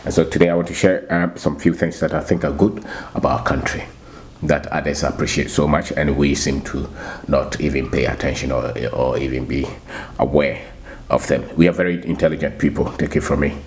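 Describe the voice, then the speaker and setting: A middle-aged man from Ghana with a Ghanaian accent, talking casually to his channel, unhurried and often repeating himself. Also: extremely low pitch, steady tone, a little hiss or hum. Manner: unhurried, repeats words and restarts, chuckles at himself. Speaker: channel host. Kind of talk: casual talk to camera.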